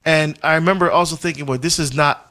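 Animated Barbados-born deacon, higher voice. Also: male voice, quick pace. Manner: animated storyteller, many fillers and restarts, frequent laughs. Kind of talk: casual conversational chat.

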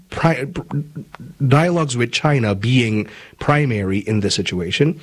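A man from Malaysia hosts a talk show, his voice a little husky. He speaks in a measured, deliberate way, sometimes restarting his sentences. His pitch sits in a middle range. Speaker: host. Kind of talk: commentary and interview.

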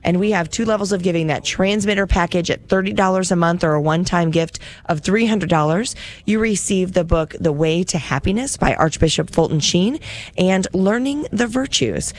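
A woman's voice: on the low side, moderate pace. A woman hosting a radio pledge drive, chatty and upbeat, listing gifts and repeating the phone number. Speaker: radio fundraiser host.

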